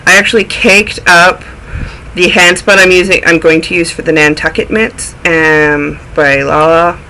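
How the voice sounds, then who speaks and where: Snuffly, bright-voiced man rambling casually. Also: on the high side, fairly even intonation, a little slow, a little hiss or hum, low-quality recording. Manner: rambling, goes off on tangents. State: snuffly from a cold. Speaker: knitting video podcast host. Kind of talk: casual chatty show-and-tell.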